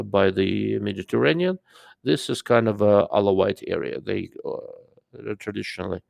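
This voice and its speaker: A man with a noticeable accent holds forth on his live stream, loose and rambling, full of fillers and asides to his viewers. His voice sits low and is heavily gravelly. The sound is dry and close, with no room around it. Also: darkish tone, dry recording. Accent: non-native English. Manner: rambling, filler-heavy, greets chat mid-sentence. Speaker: livestream host. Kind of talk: casual live-stream commentary.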